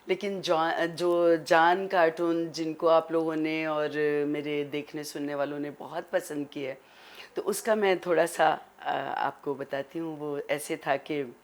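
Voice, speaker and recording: A Pakistani woman with a low voice speaks as an interview guest. She is measured and gracious, taking her time over each phrase. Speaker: guest, actress and voice-over artist. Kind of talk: talk show interview.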